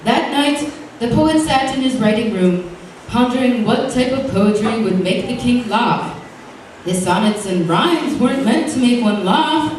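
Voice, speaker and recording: Echoey, high-voiced woman telling a comic tale. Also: lively intonation, unhurried, a little mellow. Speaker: storyteller performing at a live show. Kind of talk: theatrical fairy-tale reading with comic verse. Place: indoor stage show, room ambience behind. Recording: very echoey live hall, distant mic, noisy.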